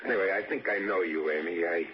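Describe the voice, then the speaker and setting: An actor playing a restless, secretly murderous drifter who tells his own story haltingly in a 1960s radio suspense drama. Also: sits low, muffled recording, close to an everyday voice. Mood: hesitant, coaxing. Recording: noisy, band-limited 1960s radio recording.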